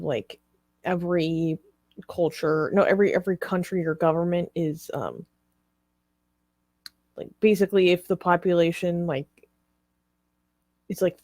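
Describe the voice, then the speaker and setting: Female co-host, slightly low register, informal and hesitant news talk, dry close recording. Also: steady tone, moderate pace, pauses often, mellow, no echo. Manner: hesitant, careful to qualify claims. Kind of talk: casual news discussion.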